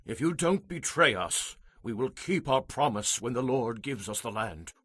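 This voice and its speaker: A man's voice: medium pitch, sing-song, moderate pace, fairly bright tone, husky, no room sound. Ancient Israelite male: mid-range voice, grave and firm, very dry recording, scripture drama. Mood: firm, warning. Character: resolute Israelite men swearing solemn oaths. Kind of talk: dramatized audio Bible acting.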